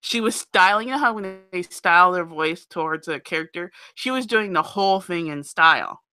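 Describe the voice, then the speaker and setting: A woman with a somewhat nasal voice, co-hosting a live show, chatting in an animated way. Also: fairly low pitch, moderate pace. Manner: animated, laughs often. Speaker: podcast co-host. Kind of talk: casual chat about audiobooks.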